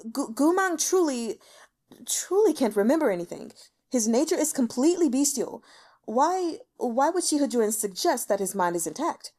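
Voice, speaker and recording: A woman's voice: high-pitched, sing-song, normal speed, a little mellow, voice pushed up. A woman playing a timid, fearful elder male court healer who speaks formally and hesitantly. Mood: nervous, insistent. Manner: nervous, hesitant, stammering, formal.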